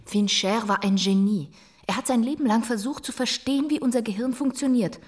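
A woman's voice: fairly high pitch, a little fast, lightly acted. An actress playing a determined, headstrong young female reporter in a German radio drama, persistent and defiant. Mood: persuasive.

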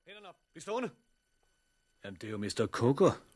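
An actor playing a level-headed, guarded hero in a 1960s radio drama, practical and wry. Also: male voice, mid-range voice, sing-song, darkish tone, slightly rough, no room sound.

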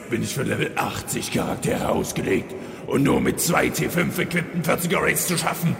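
A man's voice: fairly high pitch, gravelly, a bit bright, a little hiss or hum, heavily acted. A man playing a theatrical undead villain king in a parody audio drama, melodramatic and petulant like a sulking diva. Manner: melodramatic, drawn-out threats lapsing into petulant whining. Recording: echoey hall reverb.

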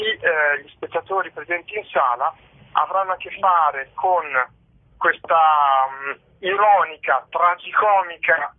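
A man being interviewed as a theatre-maker, explaining his work reflectively and pausing to search for words. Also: a little high. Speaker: theatre company member, interview guest. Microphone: telephone. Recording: narrow tinny phone line.

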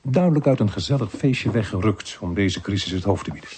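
A man's voice: fairly low pitch, animated, brisk, darkish tone, slight background noise, lightly acted. An actor playing a dry, wry police inspector who narrates his case in a radio drama. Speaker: narrator and lead character.

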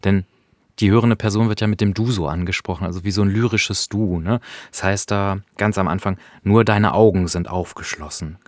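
A man's voice: sits low, fairly even intonation, fairly quick, no room sound, clean studio sound. A man talking through a radio play in casual conversation, thoughtful and searching for words. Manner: thoughtful, searching, many fillers. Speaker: radio host.

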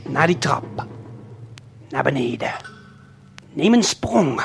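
A man narrating an audio play in the second person, deliberate and suspenseful, speaking straight to the listener. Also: mid-range voice, sing-song, vocal fry. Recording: late 1970s vinyl LP production. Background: prominent music.

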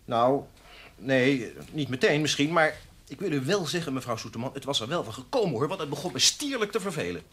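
Husky, resentful young journalist. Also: male voice, mid-range voice, animated, a little fast, a bit bright. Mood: determined, fed up. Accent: Dutch from the Netherlands. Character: resentful, ambitious younger male newspaper journalist. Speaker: radio drama actor. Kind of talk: radio play acting.

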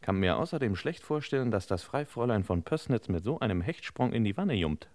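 An actor playing a wry, pompous master detective in an old radio crime comedy, in clipped telegram phrases with mock-gallant courtesy. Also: male voice, on the low side, expressive, brisk, a little mellow, close to an everyday voice. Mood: dry, pondering. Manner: clipped telegram phrasing, dropping pronouns, mock-solemn sayings. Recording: reverberant old radio play, faint hiss.

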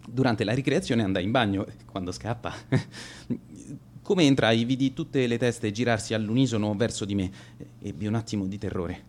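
A man's voice: on the low side, moderate pace, a little mellow, natural voice. An actor playing a cowardly teenage schoolboy who boasts as an accidental bully, telling his story in a radio drama monologue with stammered hesitations. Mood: wry, confiding.

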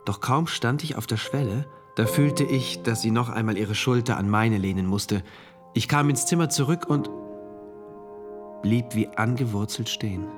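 A man's voice: medium pitch, normal speed, music in the background, lightly acted. An actor playing a troubled, introspective male diarist who narrates a dystopian radio drama in diary entries. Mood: uneasy.

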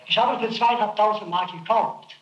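A man's voice: high voice, normal speed, echoey room, muffled recording. A man under interrogation, giving defensive and evasive answers on an archival tape.

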